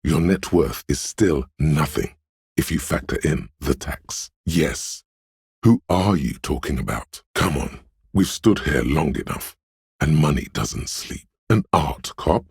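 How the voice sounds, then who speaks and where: Very deep gravelly smug hustler. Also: male voice, expressive, takes it slowly, darkish tone, no echo, clean studio sound, full character voice. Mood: smug, coaxing. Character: slick hustling inner voice of a detective, smugly money-obsessed. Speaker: video game voice actor. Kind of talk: scripted game narration, theatrical and measured.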